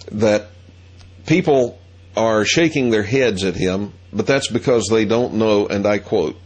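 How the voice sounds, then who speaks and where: Male host, middle-aged, lowish voice, deliberate storyteller. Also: darkish tone. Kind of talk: monologue.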